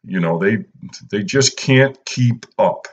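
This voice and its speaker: A man, talking casually and unhurriedly through a fishing how-to as a channel host, filling pauses with "uh" and "you know". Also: lowish voice, slightly rough, dull audio. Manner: laid-back, lots of "uh" and "you know". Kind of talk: casual instructional voice-over.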